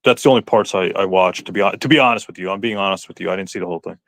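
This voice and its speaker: A man's voice: low voice, racing, a bit bright, no echo, clean studio sound. A man taking part in a live stream with casual banter, repeating his phrases as he explains things.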